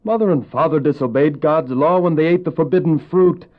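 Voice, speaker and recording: An actor playing Abel, a pious, earnest younger brother and shepherd who speaks formally and preachily in a religious audio drama. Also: male voice, fairly high pitch, fairly quick, natural voice. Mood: earnest admonishing. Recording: dull old cassette audio drama.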